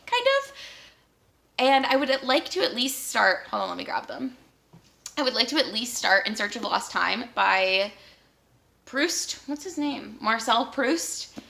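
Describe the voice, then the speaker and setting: Young woman rambling about books, upbeat, bit of vocal fry. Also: medium pitch, pushed and bright. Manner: chatty, upbeat, laughs easily, rambling asides. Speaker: book vlogger. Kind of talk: casual chat about books.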